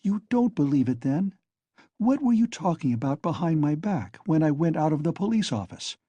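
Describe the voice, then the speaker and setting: A man's voice: fairly high pitch, very animated, moderate pace, dark tone, breathy edge, no room sound, highs cut off, pitched above the performer's own voice. A man voicing Raskolnikov, a feverish bitter young man. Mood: taunting. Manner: taunting, venomous, overwrought.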